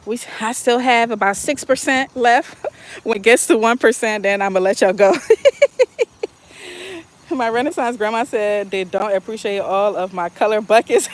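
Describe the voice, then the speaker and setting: A woman's voice: on the high side, normal speed, a little hiss or hum. Woman, casual live chat, outdoors in a garden, phone mic, talkative, laughs a lot. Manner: chatty, laughing often, talks to viewers. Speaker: gardening vlogger.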